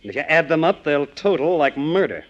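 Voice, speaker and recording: An actor playing a wry, teasing amateur sleuth in 1940s radio crime drama style, with drawling hesitations. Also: male voice, on the high side, very animated, brisk, very noisy. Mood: wry, probing. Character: wisecracking, coolly probing male amateur sleuth and sweet-talking suitor. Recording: muffled vintage 1940s radio recording.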